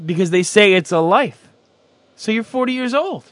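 A male radio cohost joking crudely, with loud profane outbursts and laughter. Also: sits high, lively intonation, normal speed, no echo. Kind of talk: crude, excitable banter.

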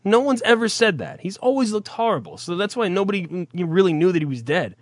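A man's voice: high voice, lively intonation, fast, dry recording, clean studio sound. A man hosting an internet radio show, teasing and profane in casual comic banter.

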